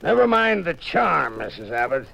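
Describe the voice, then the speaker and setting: An actor playing a gruff, hostile ship's captain in a 1950s radio drama, curt and commanding, on an old muffled recording. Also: male voice, a little high, sing-song, moderate pace, pushed and bright, heavily acted.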